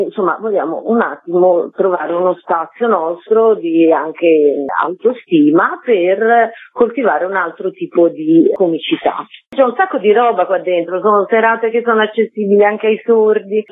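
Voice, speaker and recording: A woman who created a stand-up comedy project, talking lively and chatty in a radio interview over the phone. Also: medium pitch, no room sound. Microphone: phone call. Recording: tinny phone line, dry.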